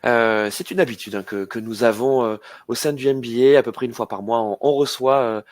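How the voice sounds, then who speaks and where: A man's voice: mid-range voice, brisk, dry recording. A man hosting an alumni webinar, speaking hesitantly with many fillers and repeated words. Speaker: webinar host. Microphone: video call.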